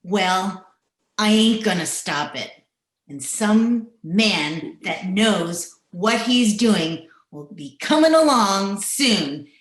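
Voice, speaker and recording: In a very roomy recording, a woman acts out a hard, bitter widow of the Old West. She talks very slowly and deliberately, with curt phrasing, in the manner of a radio western. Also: mid-range voice, animated. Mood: cold, menacing. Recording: echoey room over video call.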